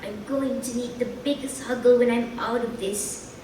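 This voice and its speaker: A hurt, tender father, as a woman reads him, speaks softly, almost in a whisper. The voice is roomy and distant, with steady ambience behind. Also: fairly high pitch, moderate pace, a little hiss or hum, close to an everyday voice. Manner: soft, whispered. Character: injured father, tender and quiet. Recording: echoey room, far from mic, ambience.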